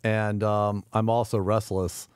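A man being interviewed as a restaurateur guest, talking casually in halting phrases with repeated words. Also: lowish voice, level tone, smooth, dry recording, studio quality.

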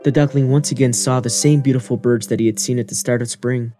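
A man's voice: medium pitch, fairly even intonation, fast talker, mellow. A man reading scripted narration for a children's fairy tale. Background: music.

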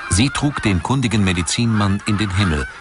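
A male documentary narrator with a low voice reads from a script. His delivery is measured and articulate, and there is clearly audible ambient noise behind him. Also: expressive.

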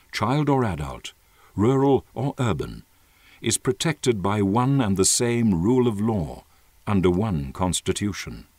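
A man giving an earnest, measured and emphatic dramatic reading of a written letter. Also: sits low, no room sound. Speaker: narrator reading an open letter.

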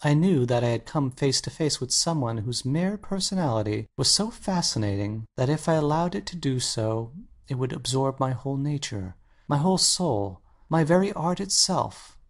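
An actor playing an earnest, anxious painter in a dramatic audiobook, speaking intensely and articulately. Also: male voice, medium pitch, expressive, normal speed, natural voice. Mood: fervent, troubled. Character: earnest, anxious male painter confessing his obsession.

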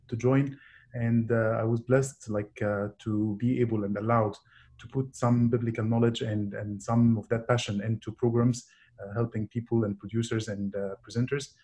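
A man's voice: fairly low pitch, dark tone, husky. A man from Egypt in his thirties, introducing himself over a video call from a small room, hesitant and full of fillers. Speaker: programming director. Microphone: video call webcam. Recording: faint background noise on a video call.

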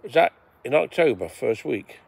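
Sweary rambling man with a gravelly voice. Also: mid-range voice, sing-song, normal speed. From England. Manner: rambling, sweary, talks to viewers. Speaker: vlogger. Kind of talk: casual rambling livestream chat. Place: outdoors at night by the seaside.

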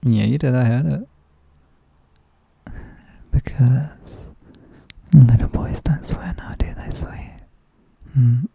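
A man voicing an affectionate, teasing partner in an intimate roleplay, speaking softly and often in a whisper. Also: mid-range voice, takes it slowly, gravelly, pauses often, husky, exaggerated voice. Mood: teasing, doting. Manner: soft, often whispered, coaxing, chuckling. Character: affectionate teasing male partner, doting, playful. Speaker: audio roleplay performer.

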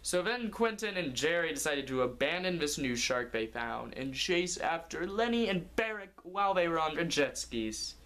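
A man's voice: fairly high pitch, lively intonation, normal speed, hardly any pauses, a bit bright. Young man telling an improvised story. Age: young adult. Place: living room, indoors.

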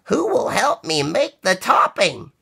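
A man plays a busy, fussy storybook hen, a female with a high voice. The delivery is bright and singsong, with exaggerated drawn-out calls that swoop up and down. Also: huge pitch swings, vocal fry, slightly rough, heavily acted. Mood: cheery calling out.

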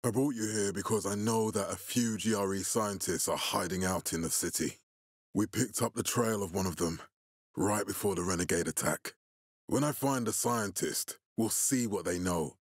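A commanding male militia leader from a video game. His voice is low and rough-edged, recorded very dry. He speaks deliberately, with stern resolve and a fatherly authority. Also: no room sound. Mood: grave, measured.

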